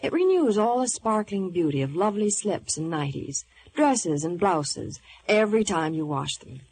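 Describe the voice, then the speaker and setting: A woman's voice: medium pitch, very animated, normal speed, darkish tone. A woman delivering scripted movie news and advertising in 1950s radio style. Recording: noisy vintage radio broadcast.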